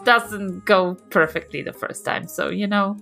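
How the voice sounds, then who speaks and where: Female player, New Zealand accent. Slow, casual improvised role-play, faint music behind. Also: mid-range voice, gravelly, fairly bright tone. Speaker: tabletop game player.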